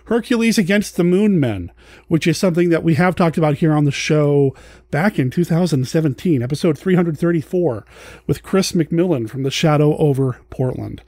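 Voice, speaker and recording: A male podcast host with a fairly high voice chats casually and off the cuff. His pitch swings in a very lively way as he rambles and rephrases his thoughts. The sound is dry and close. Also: moderate pace, no room sound. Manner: rambling, thinking aloud, self-correcting. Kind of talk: casual unscripted chat.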